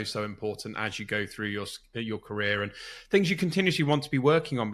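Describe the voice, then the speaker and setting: Fast-talking male podcast host. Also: lowish voice, a bit bright. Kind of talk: interview questions.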